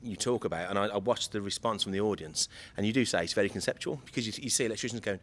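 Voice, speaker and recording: A man in his late forties with a slightly croaky voice, chatting as a guest on a podcast and often repeating words while he searches for them. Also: fairly low pitch, rapid-fire, slight background noise. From United Kingdom. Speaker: guest from an electrical equipment maker. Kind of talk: casual podcast chat.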